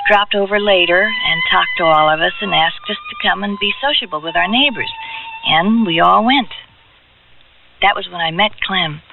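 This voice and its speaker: A woman's voice: lowish voice, a little hiss or hum. An actress playing a dreamy young woman who remembers a holiday romance in a naive, rambling, confiding radio drama monologue. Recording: narrow-band radio tape, loud music behind.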